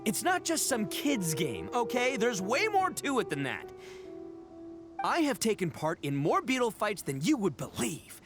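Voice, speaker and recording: An actor voicing a boisterous horned oni man, a cocky braggart with loud laughs. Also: high voice. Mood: boastful. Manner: boastful, loud laughs, brash. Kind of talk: game voice acting. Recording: game dialogue over music.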